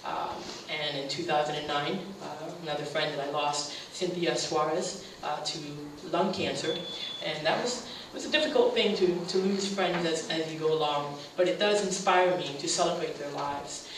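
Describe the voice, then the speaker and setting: A woman's voice: sits low, steady tone. Earnest woman in a very echoey room. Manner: earnest, halting with fillers, swelling emphasis on key phrases. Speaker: radio show host giving a speech. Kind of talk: heartfelt community speech. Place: meeting room at a community center. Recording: very echoey room from a distant mic, faint hiss.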